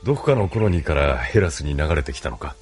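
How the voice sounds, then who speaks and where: 男性声優がアニメのオーディオドラマで、落ち着いて威厳のある中年の軍大佐を演じている。. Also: male voice, extremely low pitch, very animated, darkish tone, faint music behind, full character voice. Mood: probing, assured. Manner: measured, authoritative. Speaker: voice actor. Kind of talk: anime audio drama acting.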